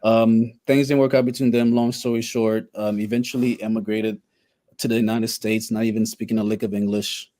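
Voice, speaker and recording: A man's voice: fairly low pitch, flat delivery, normal speed, warm tone. A man in his thirties, born in Haiti, candidly telling his life story as a podcast guest, reflective with wry humor. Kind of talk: personal storytelling in conversation.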